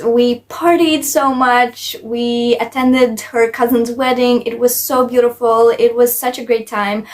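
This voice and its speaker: Higher-voiced young woman, chatty. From Latvia. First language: Latvian. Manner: emphatic, chatty, repeats intensifiers. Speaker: travel vlogger. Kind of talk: casual personal storytelling.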